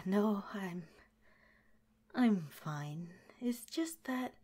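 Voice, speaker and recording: A gentle, caring head scientist in charge of a secret underground lab, as a woman plays her for an ASMR roleplay. She speaks in a soft, unhurried whisper, taking her time over every word. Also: mid-range voice.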